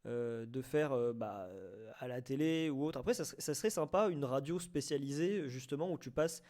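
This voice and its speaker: A man talking informally in an online debate, haltingly and with repeated phrases. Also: mid-range voice, animated, darkish tone. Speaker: debate participant.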